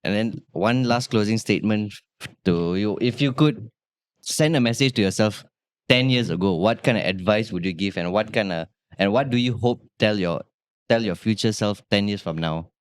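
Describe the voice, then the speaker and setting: A man hosting an interview, gentle and affirming, phrasing his questions haltingly. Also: fairly low pitch, clean tone, dry recording, clean studio sound. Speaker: host.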